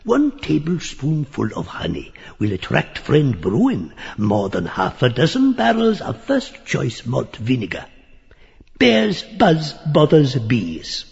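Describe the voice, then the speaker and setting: Grotesque, swooping, croaky old pedant. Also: male voice, medium pitch, wildly swooping pitch, takes it slowly, darkish tone, big-room sound, lo-fi sound, exaggerated voice. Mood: pedantic, conspiratorial. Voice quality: nasal, croaking, gabbling. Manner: pompous learned lecturing, full of crowing outbursts. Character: grotesque pedantic old man, parrot-like, leering and excitable. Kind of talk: theatrical audiobook acting of a surreal stage play.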